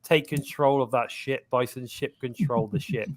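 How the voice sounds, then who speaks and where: A man's voice: medium pitch, steady tone. Upbeat man with a cough. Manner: upbeat, chatty, drawn-out fillers. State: lingering cough. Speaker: podcast host. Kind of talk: casual live-stream chat.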